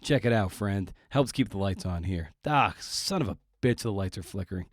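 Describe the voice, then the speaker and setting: A man's voice: sits low, lively intonation, normal speed, no room sound, clean studio sound. A man hosting a podcast solo, talking casually with wry, playful, slangy, self-deprecating asides. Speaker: podcast host. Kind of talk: casual podcast monologue with announcements.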